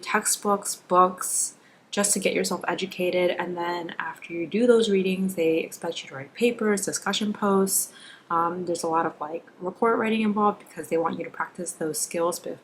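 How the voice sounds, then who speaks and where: A woman's voice: fairly low pitch, slight background noise. A young woman who grew up with Korean and English, talking casually and candidly as a school psychologist giving advice in a vlog. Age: young adult. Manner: informal, candid, self-deprecating, frequent "like". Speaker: school psychologist, video creator.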